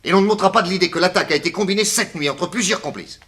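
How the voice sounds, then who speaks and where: An actor playing a self-assured private detective in a French radio drama, brisk, methodical and authoritative. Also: male voice, high-pitched, a bit bright, husky. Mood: probing, assured.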